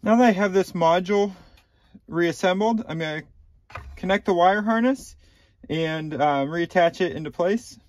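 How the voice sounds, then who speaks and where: A man in his thirties calmly walking through a car repair step by step, with a few ums, recorded inside a car. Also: high voice, moderate pace, no room sound. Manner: plain, methodical instructions with frequent ums. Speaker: DIY repair channel host. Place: inside a car, small enclosed cabin.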